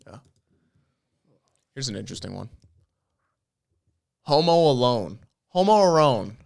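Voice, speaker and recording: A man's voice: mid-range voice, big pitch swings, measured pace, creaky, darkish tone. A man co-hosting a comedy podcast, playful and laughing, often repeating punchlines. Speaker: podcast co-host. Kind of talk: casual comedy chat.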